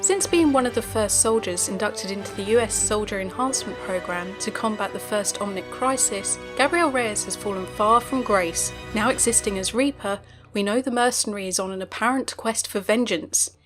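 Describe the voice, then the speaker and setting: Brief: female lore narrator, conversational and playful, soft music underneath. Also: medium pitch, normal speed. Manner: chatty, speculative, light jokes. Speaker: video narrator.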